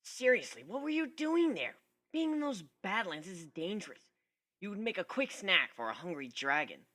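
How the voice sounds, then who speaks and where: A man's voice: sits high, sing-song, normal speed, bright, forceful tone, pitched above the performer's own voice, full character voice. A man voicing a brash, tough young female griffin with a brusque, scolding manner in an amateur story reading.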